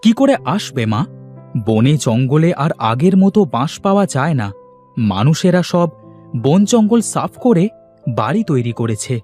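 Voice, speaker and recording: A man's voice: a little high, sing-song, moderate pace, warm tone, faint music behind. A man voicing a poor, weary village basket weaver and father in a fairy-tale cartoon, lamenting and pleading as he speaks. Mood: discouraged.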